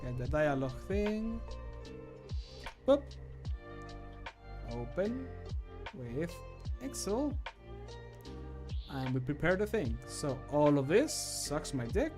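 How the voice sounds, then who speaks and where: Chatty male streamer, slightly high voice, laughs a lot, talks to himself on a home mic, soft music behind. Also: sing-song, takes it slowly, long pauses. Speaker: modder and streamer. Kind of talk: casual chat while recording voice lines.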